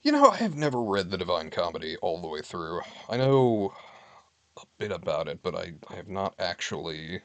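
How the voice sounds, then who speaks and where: A man's voice: low-pitched, big pitch swings, moderate pace, no room sound. A man streaming from home, chatting casually with his viewers in a candid, wry way. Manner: candid, wry, reads list items aloud and comments.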